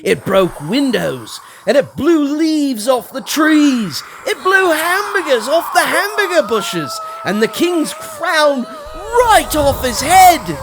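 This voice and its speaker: Storybook reader doing a kids read-aloud, super animated, takes it slow, big dramatic emphasis. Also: sits high, background noise.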